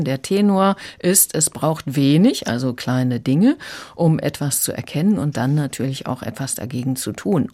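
A woman's voice: low voice, mellow. A woman working as a book critic, giving a prepared review in a radio conversation.

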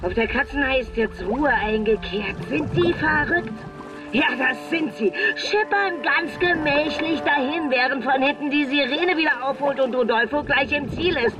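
An actor playing an excited, cheeky race commentator in a children's pirate radio play. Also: high-pitched, normal speed, lightly acted, poor audio. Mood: tense excitement. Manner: exclamatory, dramatic, cheeky. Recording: music behind.

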